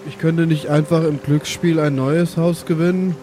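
A man's voice: fairly high pitch, little pitch movement, normal speed, mellow, soft background music, lightly acted. A man playing a sulky, self-justifying dwarf with a gambling habit in an improvised fantasy game. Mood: hopeful, defensive. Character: defensive young male dwarf with a gambling habit.

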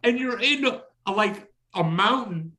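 A middle-aged man with a high, bright, forceful voice tells a casual story on a podcast. He is loud and exclamatory, slipping into quoted dialogue as he recounts what people said. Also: animated, measured pace. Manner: exclamatory, acts out dialogue in his stories. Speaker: podcast host. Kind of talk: animated anecdotal storytelling in casual chat.

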